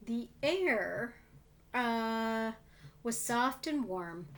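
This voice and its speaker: A woman reading a picture book aloud to children, expressive, with gently explanatory asides. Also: a little high, drawn-out words. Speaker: storybook reader for children.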